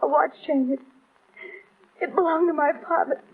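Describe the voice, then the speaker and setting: A woman's voice: high-pitched, steady tone, moderate pace. An actress playing a frightened young woman in an old radio drama, hesitant and faltering. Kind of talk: 1940s radio acting. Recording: tinny old radio recording.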